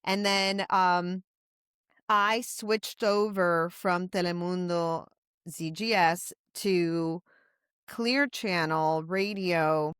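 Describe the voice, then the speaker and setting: Woman in her forties, from Puerto Rico, lowish voice, dry close sound, chatty co-host. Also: steady tone, extremely slow, a bit bright, clean tone, no echo. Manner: chatty, rambling, self-correcting. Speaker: podcast co-host. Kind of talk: casual interview chat.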